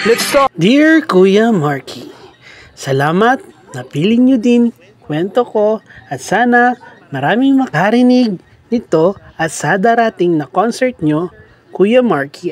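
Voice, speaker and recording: A man with a Filipino accent hosts a bedtime story show, reading listener letters aloud and mixing English into his talk. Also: high-pitched. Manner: switches between Tagalog and English.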